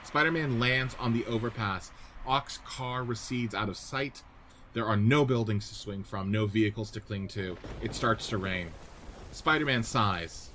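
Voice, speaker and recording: A man's voice: on the low side, unhurried, pushed and bright, a little hiss or hum. A man narrating a radio-play script, reading out stage directions and setting each scene descriptively.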